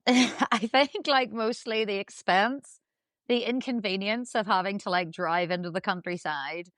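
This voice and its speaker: Woman, Northern Irish accent, chatty, self-deprecating, smooth clear voice. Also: medium pitch, a little slow, a bit bright, dry recording, studio quality. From Northern Ireland. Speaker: guest, dressage rider. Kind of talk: casual podcast chat.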